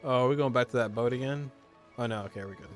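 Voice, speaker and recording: A man's voice: mid-range voice, fast, soft background music. A man giving casual playthrough commentary as a gaming streamer, laid-back, with joking asides.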